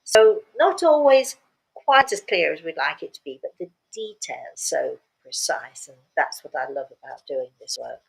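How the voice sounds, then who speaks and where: A woman's voice: sits low, animated, normal speed, creaky, poor audio. An older woman speaking as a spiritual medium, telling stories casually and repeating words for emphasis. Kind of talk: casual storytelling talk.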